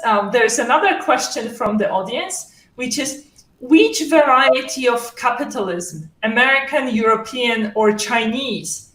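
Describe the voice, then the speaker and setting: A woman moderating a panel, passing the floor in a brisk, orderly way. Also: on the high side, a bit bright, roomy sound, distant mic, rough recording.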